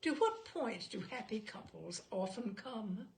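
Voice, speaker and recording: A woman voicing a courteous male questioner who methodically examines a witness in a humorous story, formal and measured. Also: mid-range voice, sing-song, gravelly, a little hiss or hum. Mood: polite, inquiring. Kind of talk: humorous short story read aloud.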